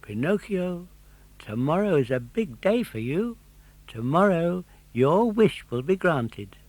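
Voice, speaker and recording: A man voicing a stern but forgiving old mother in a storybook, measured and admonishing. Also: on the high side, sing-song, a little mellow, no room sound, pitched above the performer's own voice, heavily acted, low-quality recording. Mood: kindly. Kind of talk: audiobook character voice.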